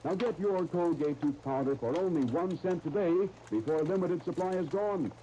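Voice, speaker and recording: A man's voice: on the high side, moderate pace, warm tone, lo-fi sound. An American man announcing in a scripted 1930s radio style, as for a serial and its sponsor. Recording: noisy 1930s radio recording.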